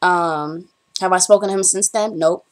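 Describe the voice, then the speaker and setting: A woman's voice: lowish voice, fairly even intonation, moderate pace. A young woman from the Bahamas, a college student, chatting to camera in a rambling, storytelling way with frequent ums. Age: young adult. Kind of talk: casual chat to camera.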